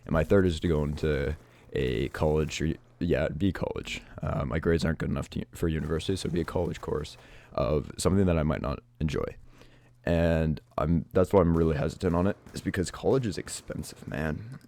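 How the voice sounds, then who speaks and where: A teenage guy from Canada, sick and stuffed up with a raspy voice, talking through his uncertain future in a hesitant, reflective vlog with many restarts. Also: a bass voice, brisk, darkish tone. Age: teens. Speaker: YouTuber.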